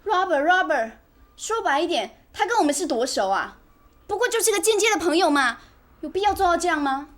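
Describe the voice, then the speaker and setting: A woman's voice: on the high side, a little fast, long pauses, breathy edge. A woman acting in a TV drama as an aggrieved, confrontational young wife and mother, with a Taiwanese accent. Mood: upset, indignant. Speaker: actress in a family drama.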